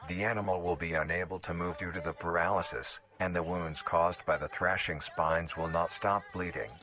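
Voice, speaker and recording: Deep bright male documentary narrator. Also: steady tone, moderate pace. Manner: formal, clinical. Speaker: narrator of an analog horror video. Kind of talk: scripted documentary-style narration.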